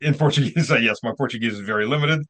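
A man's voice: mid-range voice, rapid-fire, a bit bright. A man hosting a casual interview show over a video call, joking and rambling with lots of fillers. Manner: jokey, rambling with many fillers. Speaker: show host.

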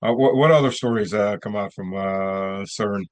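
A man's voice: fairly low pitch, moderate pace. Man, show host, jokey, rambling, lots of fillers, video call. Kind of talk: casual interview hosting.

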